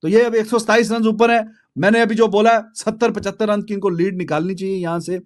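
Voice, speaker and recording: A man with a Pakistani accent and a high-pitched voice talks cricket casually to the camera. His delivery is conversational and colloquial, with English phrases mixed in. He speaks close to a desk microphone in a small studio. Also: fairly even intonation, brisk. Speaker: cricket commentator. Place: small indoor home studio. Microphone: desk microphone close in front.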